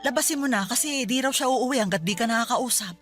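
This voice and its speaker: An actress with a Filipino accent playing a caring middle-aged mother who gently urges, in a Tagalog radio drama. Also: on the high side, fast, soft background music, lightly acted. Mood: urging.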